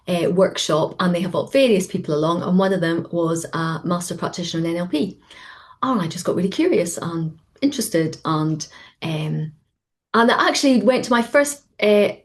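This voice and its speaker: Animated woman coach. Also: on the low side. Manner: animated, lively storytelling with acted quotes. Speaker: executive coach, guest. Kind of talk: conversational webinar interview.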